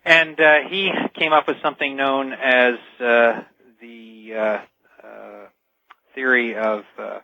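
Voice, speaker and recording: A man explaining things as a radio interview guest over the telephone, earnest and deliberate, stressing key words. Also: medium pitch, fairly even intonation, vocal fry, no room sound. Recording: dull, band-limited telephone line.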